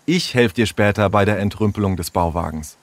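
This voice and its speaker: A man voicing a thoughtful, helpful boy who thinks out loud in a storybook reading. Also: lowish voice, fairly quick, dry recording, close to an everyday voice. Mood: easygoing.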